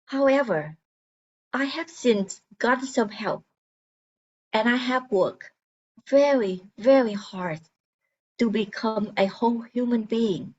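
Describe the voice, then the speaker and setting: A woman performing a dramatic monologue as an earnest, wounded mother fighting for her son, speaking deliberately and haltingly. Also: fairly high pitch. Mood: earnest, regretful. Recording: dull lo-fi sound.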